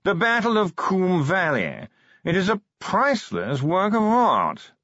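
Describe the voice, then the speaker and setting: A man's voice: sits high, huge pitch swings, a bit bright, no echo, pitched above the performer's own voice, exaggerated voice. A man voicing a posh, fussy male art curator who drawls as if yawning. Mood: plaintive. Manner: slow and plaintive. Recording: dull lo-fi recording.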